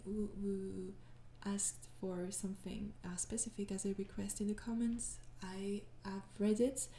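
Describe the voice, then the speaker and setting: Young french woman, sleepy and unsure, mellow voice, kinda echoey room. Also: medium pitch, level tone, a little slow, slight background noise. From France. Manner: hesitant, apologetic, frequent soft laughs, slips into whispers. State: tired. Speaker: ASMR audio creator answering fan questions. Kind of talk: soft-spoken casual Q&A.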